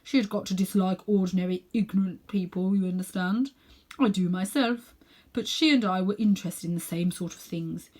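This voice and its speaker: Woman acting middle-aged gentleman magician, pompous, condescending, sinister, self-important, drawn-out phrasing, storybook villain. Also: medium pitch, lightly acted, poor audio. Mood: confiding. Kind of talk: children's storybook character reading.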